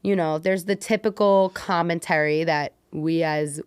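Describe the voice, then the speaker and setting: A woman's voice: low voice, steady tone, a little slow, a little mellow, smooth, no room sound. A woman speaking casually as a podcast guest, telling stories in an informal, slangy way. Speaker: podcast guest, community builder. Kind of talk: casual conversational interview.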